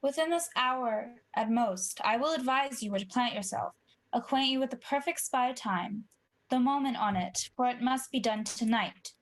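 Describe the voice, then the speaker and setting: A woman's voice: fairly high pitch, moderate pace, close to an everyday voice. A young woman acting an ambitious, guilty usurper king in a Shakespeare radio play. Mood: conspiratorial. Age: young adult. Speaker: student actor.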